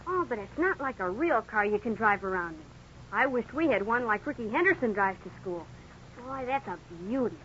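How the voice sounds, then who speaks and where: A woman's voice: fairly high pitch, expressive, brisk, a bit bright. A woman playing an eager, whiny thirteen-year-old boy in a 1950s radio drama. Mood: eager, pleading. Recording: muffled, noisy 1950s radio recording.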